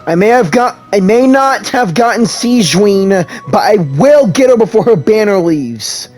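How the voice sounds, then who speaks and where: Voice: mid-range voice, expressive, moderate pace, a little mellow, soft background music. A video game player giving casual commentary and speaking along with the story during a playthrough.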